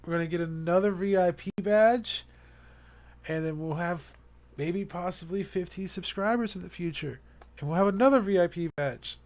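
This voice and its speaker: A man's voice: high-pitched, moderate pace, a little mellow. A young man chatting casually to his live-stream viewers, rambling and earnest, with many fillers and restarts. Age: young adult.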